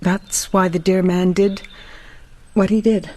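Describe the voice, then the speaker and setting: A woman's voice: medium pitch, level tone, slow pace, a little mellow. A woman playing a genteel, sorrowful widow in a period radio drama, formal and restrained. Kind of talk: old-time radio drama acting.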